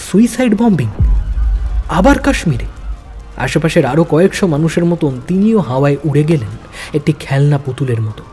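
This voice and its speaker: A man's voice: a little high, warm tone. A man narrating a spy thriller audio story in a dramatic, suspenseful manner.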